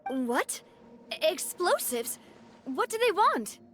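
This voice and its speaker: High-voiced, caring young woman leader. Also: sing-song, slow, fairly bright tone, full character voice. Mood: alarmed, concerned. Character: caring, composed young woman who leads a protective organization. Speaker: boss. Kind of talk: video game voice acting. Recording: music behind the voice.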